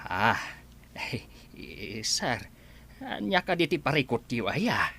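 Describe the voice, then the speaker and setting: A man's voice: mid-range voice, sing-song, takes it slowly, creaky, pushed and bright, husky, full character voice. A man playing a deferential, puzzled servant in an Ilocano radio drama. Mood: concerned.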